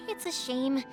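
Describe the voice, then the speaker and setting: A woman's voice: extremely high pitch, exaggerated voice. A woman playing a cheerful, caring young fireworks maker in a video game, chatty, earnest and reassuring. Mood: concerned. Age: young adult.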